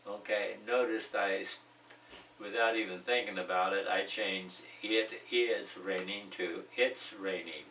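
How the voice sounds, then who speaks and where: Slow, deep-voiced man teaching English. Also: steady tone, pushed and bright, roomy sound, recorded from a distance, slight background noise, low-quality recording. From United States. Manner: slow, repeats example phrases, joking asides. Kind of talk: bilingual language lesson.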